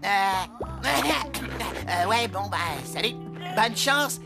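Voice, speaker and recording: Boy sidekick, high animated voice, slangy, clumsy, cartoonish, loud music behind. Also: drawn-out words, gravelly, heavily acted. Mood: awkward, offhand. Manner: slangy, swings from offhand to overwrought.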